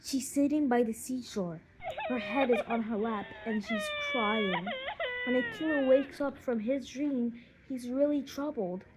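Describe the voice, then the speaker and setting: A woman narrating a children's audiobook in an expressive storytelling manner. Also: fairly high pitch, unhurried, noisy background.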